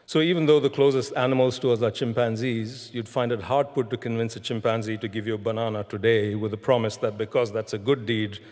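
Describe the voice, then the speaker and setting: A man giving a graduation speech in a measured storytelling manner, recorded in a large hall. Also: medium pitch, steady tone, a little mellow. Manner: measured, storytelling delivery with deliberate repetition. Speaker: graduation ceremony speaker. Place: large concert hall, live ceremony. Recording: echoing large concert hall.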